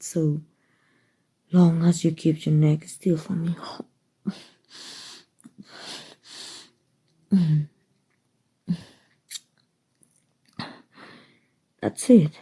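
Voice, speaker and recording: Woman playing a breathy, dark-voiced, doting vampire lady. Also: low voice, full character voice. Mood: soothing, pleased. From Mexico. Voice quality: breathy, soft. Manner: gentle, unhurried, doting. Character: kind, doting, aristocratic female vampire owner. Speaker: voice actor. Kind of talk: ASMR roleplay.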